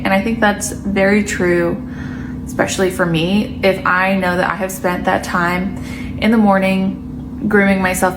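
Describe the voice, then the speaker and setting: A woman talking casually to camera in a chatty, explanatory way, like a lifestyle creator. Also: lowish voice. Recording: noisy background.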